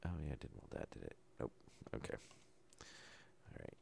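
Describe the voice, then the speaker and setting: A man calmly talking through a survival game as he plays, low-key and unhurried, with no put-on enthusiasm. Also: slight background noise. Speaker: gameplay commentator. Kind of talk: casual let's-play commentary.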